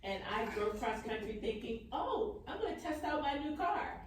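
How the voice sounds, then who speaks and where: A woman's voice: a little high, big pitch swings, normal speed. A woman in an audience talking casually and personally, correcting herself as she goes. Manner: conversational, self-correcting. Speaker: audience member. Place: audience of an indoor hall. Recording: heavily echoing hall, distant, faint noise.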